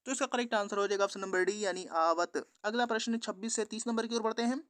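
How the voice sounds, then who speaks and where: A man's voice: sits high, a bit bright, no echo. A man teaching an exam-prep lesson, reading out quiz questions and answers in a repetitive, instructional way.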